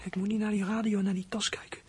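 A performer playing a small troubled runaway boy in a radio drama, husky and hesitant, speaking in plain colloquial phrases. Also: mid-range voice, flat delivery, racing, darkish tone. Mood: panicked, hushed. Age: child character. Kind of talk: radio drama acting with whispered inner monologue.

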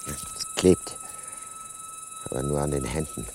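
A man's voice: very high-pitched, huge pitch swings, unhurried, noisy background, heavily acted. An actor playing a middle-aged murderer in a radio drama who mutters his plans to himself and is courteous to strangers. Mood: cold. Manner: muttering inner monologue, then courteous small talk. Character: calculating middle-aged male murderer, outwardly polite. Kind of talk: 1980s radio drama acting.

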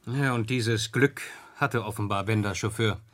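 A man's voice: lowish voice, moderate pace, a bit bright, close to an everyday voice. Cool, determined reporter sleuth, played by a man. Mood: inquisitive. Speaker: actor in a radio play. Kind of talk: German radio crime drama acting.

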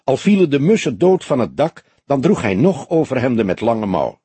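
A man's voice: medium pitch, big pitch swings, normal speed, mellow, husky, no room sound, pitched above the performer's own voice. A man voicing a fierce, offended young woman with a snappish manner in an audiobook. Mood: subdued. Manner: snappish, indignant. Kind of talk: audiobook character dialogue.

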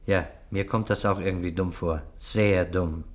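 A man playing a shrewd police inspector, terse, deliberate and dry, in a 1960s German radio crime drama. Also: sits low, fairly even intonation, close to an everyday voice. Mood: pensive.

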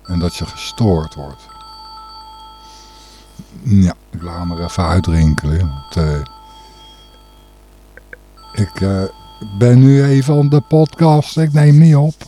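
A man's voice: low-pitched, slow, long pauses, mellow, faint music behind. A man hosting a podcast in rambling, casual solo talk, hesitant and a little distracted. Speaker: podcast host.

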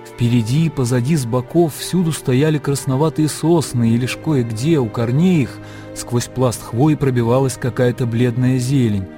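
A man narrating a classic story in a radio play, with a measured, lyrical, literary delivery. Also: mid-range voice, warm tone, lightly acted. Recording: music under the narration. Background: music.

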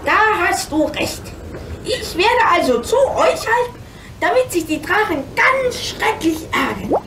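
A woman playing a whiny, childlike young male half-dragon with a shrill, plaintive voice in a children's radio play. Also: sing-song, unhurried, exaggerated voice. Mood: conspiratorial, proud. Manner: theatrical, childlike, exclamatory. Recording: roomy, distant, loud background noise.

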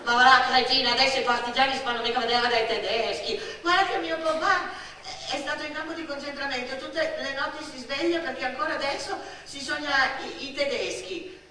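A woman voicing a cocky, excitable six-year-old boy who blusters and stumbles over words, in theatrical radio monologue style. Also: extremely high pitch, a little fast, pitched above the performer's own voice, full character voice. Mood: indignant, blustering. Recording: very echoey stage, distant mic, faint noise.

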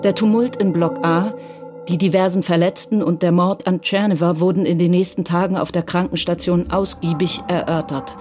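A woman narrating a radio crime drama in a calm, measured way. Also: lowish voice, music in the background.

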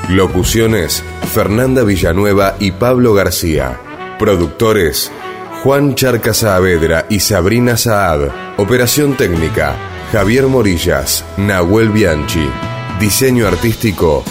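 Male announcer. Very low, slow read of scripted credits over a guitar bed. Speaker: radio announcer. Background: guitar music bed.

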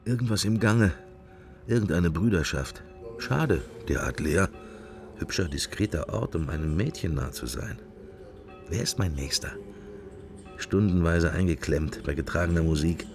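Radio drama inner monologue: wry, irreverent observer, deep and gravelly, thinking in musing fragments. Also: male voice, a little slow, music in the background. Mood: curious, irreverent. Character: wry, musing male sceptic, inner thoughts while observing church.

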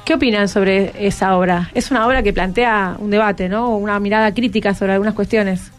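A woman's voice: mid-range voice, fairly even intonation, fairly quick. A woman hosting a radio show in a studio, talking conversationally and guiding guests with encouraging questions. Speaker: radio host. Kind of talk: conversational interviewing of students. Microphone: studio microphone. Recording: music behind the voice.

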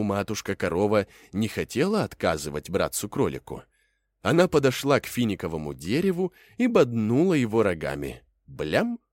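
A man's voice: fairly low pitch, darkish tone, dry recording, clean studio sound. A man narrating a fairy tale as a storyteller, in a folksy, theatrical way.

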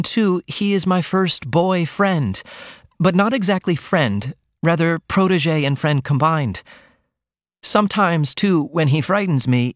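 A man's voice: fairly high pitch, no room sound, studio quality, voice pushed up, close to an everyday voice. A man voicing an earnest, innocent young woman who confides in her mother in an audiobook reading.

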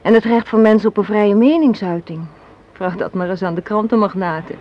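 A woman's voice: mid-range voice, brisk, close to an everyday voice. An actress in a radio play playing an assertive, cynical young woman, arguing in an articulate, sardonic way. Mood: challenging, cynical. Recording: muffled, hissy old radio play recording.

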